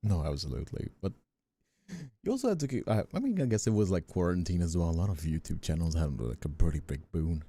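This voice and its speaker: Young male streamer from Brazil, dark mellow tone, animated delivery, rambling and self-deprecating casual chat. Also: deep voice, fast talker, husky, no room sound. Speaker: ASMR voice actor and streamer.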